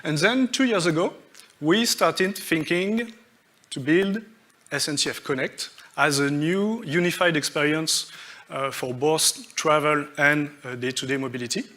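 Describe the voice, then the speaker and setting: A man speaks from a conference stage, his voice sitting a little on the high side. He moves through his points one by one, stopping often on fillers and restarting his sentences. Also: bright, forceful tone. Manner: halting, many fillers and restarts, listing points. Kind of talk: tech conference talk.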